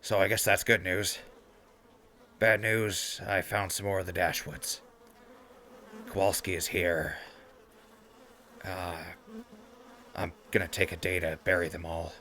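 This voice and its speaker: A man playing a weary, grieving, sarcastic wasteland radio survivor in an audio drama, muttering haltingly and wryly through his log. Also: sits low, level tone, bright, forceful tone, a little hiss or hum. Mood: weary, matter-of-fact.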